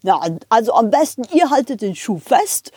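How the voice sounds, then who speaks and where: A woman voicing a good-natured, fussy male train driver in a children's audio play, with a drawn-out, folksy way of talking. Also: fairly high pitch, very animated, mellow, husky, dry recording, clean studio sound. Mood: fussing, kindly. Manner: drawn-out, chuckling, ends questions with "hä".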